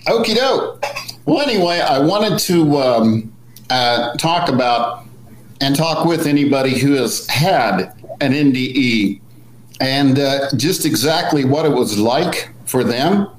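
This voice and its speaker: A man's voice: mid-range voice, lively intonation, takes it slowly. A man in his sixties chatting casually on a live stream, telling his own story in a plainspoken, folksy way over a video call. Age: 60s. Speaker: co-host. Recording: roomy echo with faint background hum.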